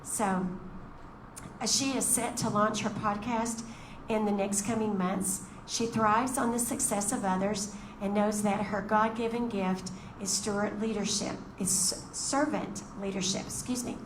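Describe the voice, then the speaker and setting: A woman's voice: medium pitch, reverberant, noisy background. A woman emceeing a meeting, reading out a speaker's bio with chatty asides. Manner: reads bios with chatty asides. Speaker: event emcee. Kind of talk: introducing speakers.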